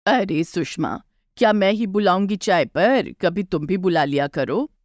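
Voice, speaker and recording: A woman's voice: on the low side, expressive, measured pace, darkish tone, no echo, clean studio sound. A woman voicing a friendly older neighbour in a moral story, chatty, proud and teasing in a familiar way.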